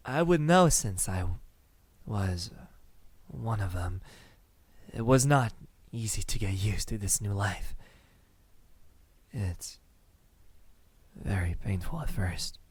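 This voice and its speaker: Man voicing a slow, intimate gentleman vampire. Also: on the low side, expressive, breathy edge, dry recording, exaggerated voice. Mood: reflective, grave. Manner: slow, intimate, softly spoken with sighs and pauses. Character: possessive, tender, gentlemanly centuries-old male vampire. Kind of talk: ASMR roleplay.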